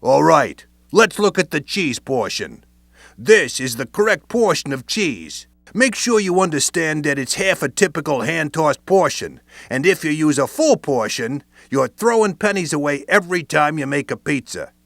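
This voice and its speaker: A man's voice: on the high side, expressive, moderate pace, gravelly, a bit bright, no echo. A man narrating a training video in playful wiseguy slang. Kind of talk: scripted instructional narration.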